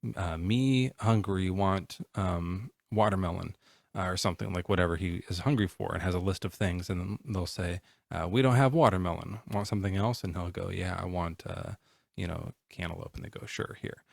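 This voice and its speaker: A man in his forties hosting a live stream, chatting casually in a halting, rambling way with lots of fillers. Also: deep voice, creaky, dry recording, clean studio sound. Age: 40s. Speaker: livestream host.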